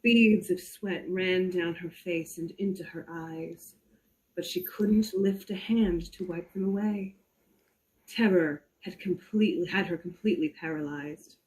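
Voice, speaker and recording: Woman giving a slow, suspenseful dramatic reading. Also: lowish voice, a little mellow, low-quality recording. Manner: theatrical, suspenseful, with deliberate pauses. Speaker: performer reading a story aloud.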